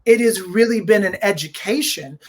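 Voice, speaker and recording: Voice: mid-range voice, moderate pace, darkish tone, a little hiss or hum. A host in their forties chatting conversationally about an arts project, with a mid-range voice. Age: 40s. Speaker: host and director discussing a dramatic reading. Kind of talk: conversational fireside chat.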